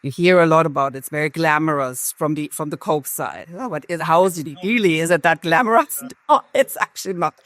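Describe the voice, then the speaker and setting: A middle-aged woman with a German accent, a marketing executive talking candidly as an interview guest, lively and self-deprecating with frequent restarts. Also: deep voice, brisk, few pauses, dry recording, studio quality.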